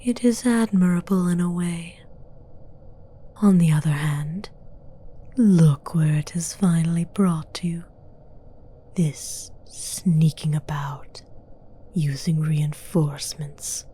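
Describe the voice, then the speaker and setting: A woman voicing a haughty, seductive female demon villain in a whispered, breathy ASMR fantasy roleplay, speaking formally and with condescension. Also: fairly low pitch, steady tone, warm tone. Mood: scornful. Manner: slow, deliberate, condescending, formal.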